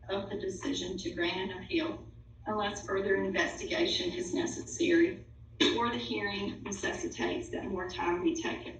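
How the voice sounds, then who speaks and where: A woman reading a written statement aloud at a public meeting in a formal, stern manner. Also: mid-range voice. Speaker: speaker reading a public complaint to a school board. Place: indoor public meeting room. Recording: roomy, distant mic, faint noise.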